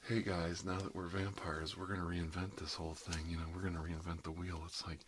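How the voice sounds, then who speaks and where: Low-pitched man in rambling ASMR chat. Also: level tone, fairly quick, background noise. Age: middle-aged. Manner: rambling, conversational, jokey, frequent "you know". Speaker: ASMR performer playing an emergency dentist. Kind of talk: ASMR roleplay, rambling one-sided casual chat.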